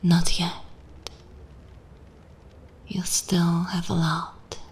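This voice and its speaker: A woman playing a seductive, cruel and jaded vampire mistress in a dark fantasy ASMR roleplay, speaking breathily in slow, commanding, theatrical phrases. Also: lowish voice, flat delivery. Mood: hypnotic, cruel. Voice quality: breathy whisper.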